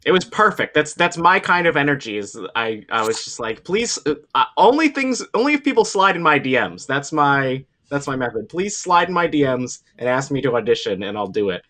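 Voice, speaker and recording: A man's voice: medium pitch, sing-song, fairly quick, few pauses, sharp tone. A man, a voice actor at a table read, chatting casually in a playful, tongue-in-cheek way. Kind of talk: casual joking chat.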